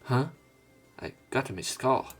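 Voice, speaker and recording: A man's voice: mid-range voice, measured pace, a bit bright, husky, soft background music. A man reading visual novel text aloud and breaking off for casual, sweary let's play commentary. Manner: casual, sweary interjections between read lines.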